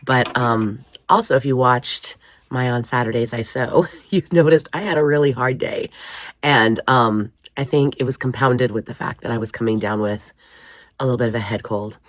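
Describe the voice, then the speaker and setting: A woman with a scratchy, croaky voice from a head cold, chatting casually to camera in her basement craft room. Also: a bass voice, normal speed, no echo, studio quality. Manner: chatty, rambling, with frequent ums and small laughs. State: hoarse, congested from a head cold. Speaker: crafting vlogger.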